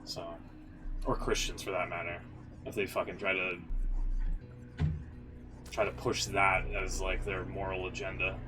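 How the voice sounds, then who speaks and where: A male streamer with a low voice chats casually and off the cuff. He is blunt and swears freely, and music plays clearly behind him. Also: flat delivery, a little slow, pauses often. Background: background music.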